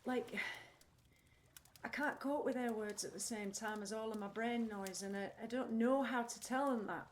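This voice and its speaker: An actress playing a troubled, candid, anxious woman in an audio play, halting as she searches for words. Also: fairly high pitch, a little hiss or hum, natural voice. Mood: anxious, intense. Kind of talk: audio play acting, confessional monologue.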